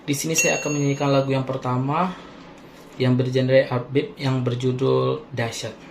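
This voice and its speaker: A man's voice: mid-range voice, level tone, moderate pace, creaky. A man from West Sumatra giving a formal self-introduction as a singing audition contestant. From Padang, West Sumatra, Indonesia. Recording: roomy echo with faint background noise.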